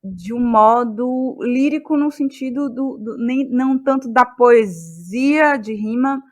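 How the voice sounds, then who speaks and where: A woman's voice: a little high, takes it slowly. A woman, reflecting on her creative process as an interview guest, searching for words and repeating them as ideas form. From Bahia, Brazil. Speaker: interviewed guest, playwright and actress.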